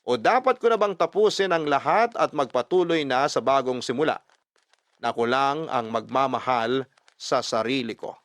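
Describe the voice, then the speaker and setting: A man narrating a first-person love story dramatically, expressive and emphatic, mixing Tagalog and English. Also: medium pitch, normal speed, no room sound. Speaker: storyteller reading a listener's letter.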